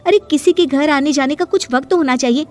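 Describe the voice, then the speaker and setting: A woman playing a sassy, sharp-tongued young daughter-in-law who argues in a pert, mock-polite way in a cartoon family drama. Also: high voice, fast talker, faint music behind, natural voice. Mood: indignant.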